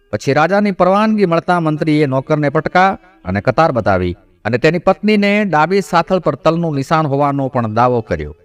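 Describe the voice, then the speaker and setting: A man's voice: on the high side, clean tone, dry recording. A man narrating a scripted devotional moral folk tale as a storyteller.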